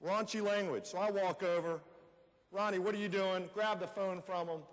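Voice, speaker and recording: A man's voice: high-pitched, fairly even intonation, moderate pace, natural voice. A man playing a folksy fellow who recounts his teenage troubles in a conversational readers theater monologue, putting questions to his listeners. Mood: storytelling. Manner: conversational, addresses audience with rhetorical questions. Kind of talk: first-person storytelling monologue in a readers theater. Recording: echoey hall with long reverb.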